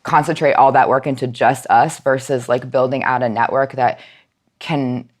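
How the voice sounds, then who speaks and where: A woman's voice: extremely low pitch, steady tone, moderate pace. A woman being interviewed as a guest, talking informally with many "likes" and emphatic agreement. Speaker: podcast guest, nonprofit outreach and education manager. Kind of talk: casual interview chat.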